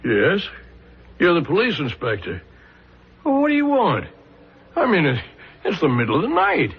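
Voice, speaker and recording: A husky, fairly high-voiced man plays a weary, defensive prisoner accused of murder. His delivery is anguished and halting, with wide swings of pitch, in the manner of 1970s radio drama. The recording is dull and lo-fi. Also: wildly swooping pitch, heavily acted. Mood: wary, irritated.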